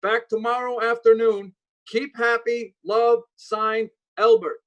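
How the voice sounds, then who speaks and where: A woman's voice: fairly high pitch, level tone, takes it slowly, low-quality recording. A woman playing a polite, matter-of-fact telegraph clerk in an old-time radio drama, formal and slightly hesitant, with clipped phrasing. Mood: businesslike, neutral.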